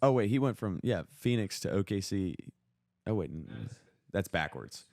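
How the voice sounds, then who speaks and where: A man's voice: on the low side, big pitch swings, normal speed, darkish tone, no echo, studio quality. A young man co-hosting a podcast, chatting casually about sports in a blunt, opinionated way. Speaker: podcast co-host. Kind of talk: casual sports chat among friends.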